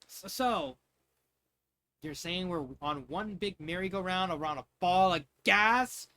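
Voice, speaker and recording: A man voicing an excitable, easily amazed young girl cousin in an amateur fan fiction reading. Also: high voice, slow pace, heavily acted. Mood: incredulous.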